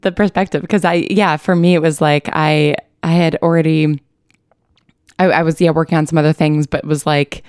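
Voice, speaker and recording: Lowish-voiced woman, casual interview chat. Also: fairly even intonation, moderate pace, darkish tone, clean tone, no room sound, studio quality. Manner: informal, chatty, laughs lightly while talking. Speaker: writer and co-producer being interviewed.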